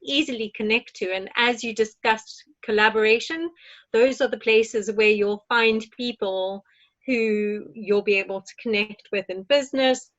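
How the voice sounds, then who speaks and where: A woman's voice: fairly high pitch, measured pace, dull audio. A woman panelist in an online discussion, talking earnestly and reflectively, with many restarts and fillers. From moved abroad to Ireland.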